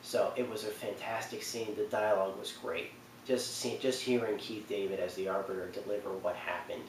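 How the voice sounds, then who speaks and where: A man with a fairly low voice gives casual, off-the-cuff countdown commentary. He sounds a little far from the microphone in an echoey room, with faint noise. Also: fairly even intonation, moderate pace. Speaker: video countdown host.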